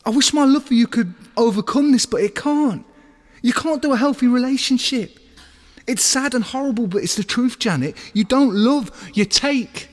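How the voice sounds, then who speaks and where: An actor plays an insecure boyfriend who demands unconditional love in an audio drama. His male voice sits high and sounds anguished and accusing as he argues. Long reverb surrounds every line. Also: moderate pace. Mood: anguished, angry. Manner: anguished, argumentative, reproachful. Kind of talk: naturalistic audio drama dialogue.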